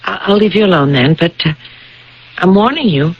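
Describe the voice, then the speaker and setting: An actress playing an earnest young woman in a radio drama, gently persistent and hesitant, with a husky voice. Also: lowish voice, animated, normal speed. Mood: gently determined. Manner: hesitant, earnest, pleading, with stammered starts. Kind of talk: 1980s radio drama acting. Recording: dull old radio broadcast recording.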